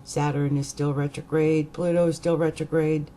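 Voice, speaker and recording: A middle-aged woman astrologer with a croaky voice, rambling hesitantly in a casual vlog with many fillers. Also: deep voice, flat delivery, normal speed, dark tone, a little hiss or hum.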